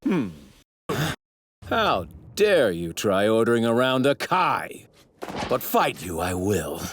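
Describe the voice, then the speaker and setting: A man's voice: medium pitch, very animated, slow, a bit bright. An arrogant anime villain god, grandiose and self-righteous, with widely swooping intonation. His formal, theatrical delivery drips with scorn for mortals. The clip is noisy, with sound effects behind the voice. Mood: haughty. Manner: pompous, grandiose, formal diction. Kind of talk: theatrical anime dub acting.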